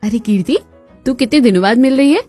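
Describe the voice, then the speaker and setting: A woman acting the dramatic, expressive daughter and chatty female relatives of a cartoon family story. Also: a little high, fast talker, breathy edge. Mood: playful, exasperated. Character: young unmarried daughter and her chatty women relatives, expressive. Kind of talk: Hindi cartoon story dialogue. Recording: music bed behind the voice.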